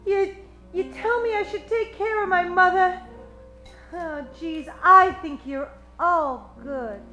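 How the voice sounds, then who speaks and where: Squeaky perky 1940s secretary, slow n gushing, naive and flirty, roomy radio play. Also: female voice, pushed and bright, faint music behind, exaggerated voice. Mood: earnest, pleading. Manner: breathless, gushing 1940s gee-whiz speech. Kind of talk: old-time radio detective parody acting.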